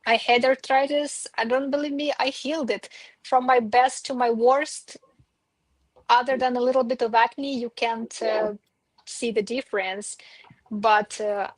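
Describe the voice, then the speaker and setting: Halting woman, higher voice. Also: dry recording, rough recording. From Eastern Europe. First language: Belarusian. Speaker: guest on a livestream. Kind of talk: hesitant personal conversation.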